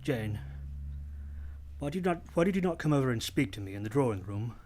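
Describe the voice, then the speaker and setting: Male actor as middle-aged master of the house, imperious, brusque, brooding, mid-pitched, radio drama. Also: expressive, brisk, a little mellow, a little hiss or hum, natural voice. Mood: commanding. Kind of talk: radio play acting.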